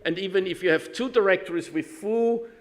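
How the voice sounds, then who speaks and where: A man from Austria with an Austrian German accent, giving a technical conference talk, halting with many ums and restarts, with dry self-deprecating humor. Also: high-pitched. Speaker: conference speaker.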